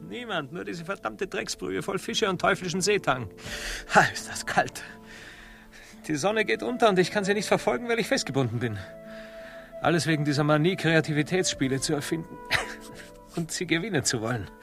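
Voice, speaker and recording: An actor in a comic radio drama playing a pompous, cowardly, self-pitying admiral, melodramatic and whining. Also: male voice, high-pitched, animated, normal speed, creaky, a bit bright, exaggerated voice. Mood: self-pitying grumbling. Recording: music behind the voice.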